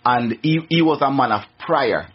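A man's voice: mid-range voice, normal speed, a little hiss or hum. A middle-aged man from Jamaica with a Jamaican accent, a radio guest telling his story earnestly, with many restarts. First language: Jamaican Patois. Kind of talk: casual interview, testimony. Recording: muffled radio recording.

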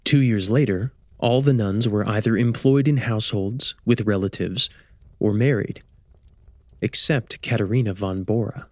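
A man's voice: lowish voice, normal speed, no echo, studio quality. A man reading scripted radio drama narration in a storytelling manner. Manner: storytelling, posing rhetorical questions. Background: soft plucked guitar music.

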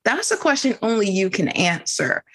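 Husky voiced woman chatting candidly in a group talk, kinda thinking out loud, reflective. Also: medium pitch, big pitch swings, moderate pace, rough recording. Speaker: team member in a group discussion. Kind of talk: reflective casual conversation.

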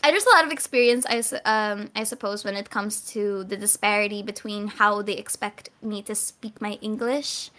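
A young woman from the Philippines, a guest content creator, telling stories in animated, laugh-filled casual chat. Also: medium pitch, moderate pace, few pauses. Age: young adult. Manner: animated storytelling, laughs often between words.

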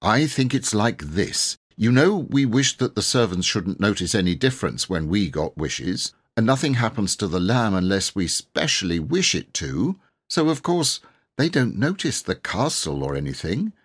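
A man voicing an earnest, excited schoolboy adventurer in an old storybook, speaking eagerly and emphatically in old-fashioned slang. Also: fairly low pitch, normal speed, slightly rough. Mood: eager, explaining.

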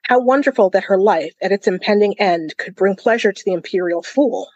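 A cool, clever male court fool, played by a woman reading aloud, taunts with wry amusement. The voice sits at a medium woman's pitch with a dry sound, in storybook style. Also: fairly quick, darkish tone, no echo, dull audio, natural voice. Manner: wry, mocking.

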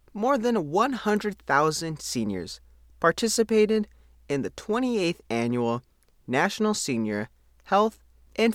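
Male American reporter for scripted news narration, highly animated intonation, slightly high voice. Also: no room sound, clean studio sound. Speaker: newspaper reporter reading own article.

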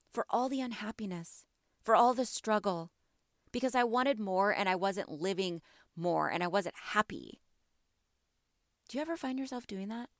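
A woman with a slightly raspy voice from allergies hosts a motivational podcast, talking earnestly and encouragingly and asking her listeners many questions. Her pitch sits in the middle, and she sounds close and dry, with almost no room around her. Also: no echo. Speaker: podcast host; mother.

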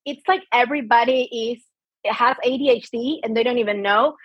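A woman from Argentina with an Argentine Spanish accent, a podcast guest chatting in an animated, casual way with frequent restarts. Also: on the high side, brisk, breathy edge, dry recording. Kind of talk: casual interview chat. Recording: dull, lo-fi sound.

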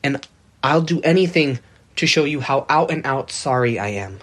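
A woman's voice: very deep voice, animated, moderate pace, slightly rough, voice pushed down, heavily acted. A woman reading aloud, voicing a proud, hot-tempered young man who sulks and talks back. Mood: pleading. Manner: sulky, defiant.

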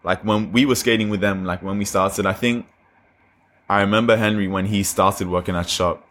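A man's voice: fairly low pitch, steady tone, moderate pace, fairly bright tone. A young man from Australia and Nigeria, a skater guest chatting loosely with slang and lots of fillers. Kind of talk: casual chat.

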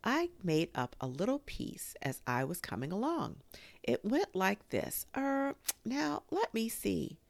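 A woman voicing an amiable, slow-witted male toy bear in a children's storybook, speaking simply and earnestly with some hesitation. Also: mid-range voice, wildly swooping pitch, vocal fry, dry recording, voice pushed up, full character voice. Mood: earnest, puzzled.

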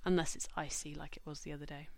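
A woman hosting a podcast, stuffy from a cold, speaking in a measured, informative way. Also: low-pitched, flat delivery, darkish tone, no room sound. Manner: measured, informative, reads lists slowly. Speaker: podcast host. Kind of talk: scripted podcast monologue.

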